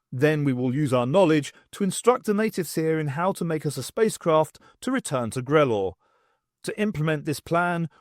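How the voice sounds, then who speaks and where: A man's voice: fairly high pitch, no room sound, studio quality. Man acting a pompous, formal alien leader. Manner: formal, clipped, pedantic, commanding. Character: pompous male alien leader with face tentacles.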